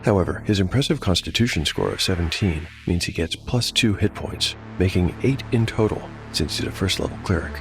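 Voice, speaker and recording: A man narrating a solo tabletop fantasy game as game master, in a measured, reflective, scripted manner. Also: low-pitched, music in the background.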